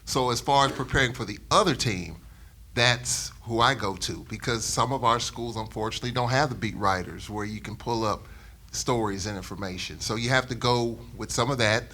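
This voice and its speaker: A man's voice: medium pitch, moderate pace, bright, forceful tone. A middle-aged man, a radio sportscaster speaking off the cuff on a panel, emphatically and with repeated phrases for emphasis. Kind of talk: unscripted panel talk.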